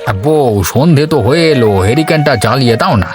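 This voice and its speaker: Casting: actor as gentle, weary village husband for a cartoon, mid-pitched, reflective, over background music. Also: male voice, lively intonation, normal speed, lightly acted. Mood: reflective, content. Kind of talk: Bangla cartoon dialogue.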